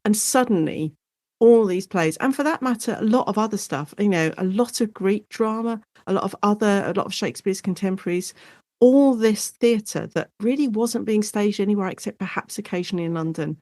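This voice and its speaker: A woman guest academic explaining her subject in a conversational interview, chatty and animated, thinking aloud as she goes. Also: mid-range voice, brisk, no room sound, studio quality.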